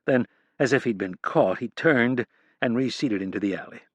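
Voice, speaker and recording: A man narrating a crime thriller audiobook in a measured, even reading. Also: mid-range voice, dry recording. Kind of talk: scripted narration.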